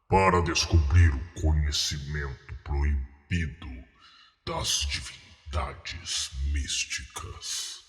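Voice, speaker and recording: A man voicing a solemn male cult priest of ancient gods, intoning slowly with hushed whispers. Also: rumbling low, voice pushed down, full character voice. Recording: cavernous reverb with faint music.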